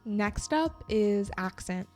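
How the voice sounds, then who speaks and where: A young woman hosting an English-learning podcast, talking calmly and encouragingly as a teacher. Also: mid-range voice, soft background music. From United States. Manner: calm, encouraging, slow and deliberate. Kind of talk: scripted educational podcast talk.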